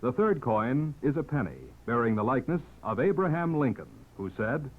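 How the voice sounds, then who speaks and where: A man's voice: medium pitch, expressive, normal speed. A man reading an earnest scripted public service message in 1950s radio style. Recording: narrow-band vintage radio recording.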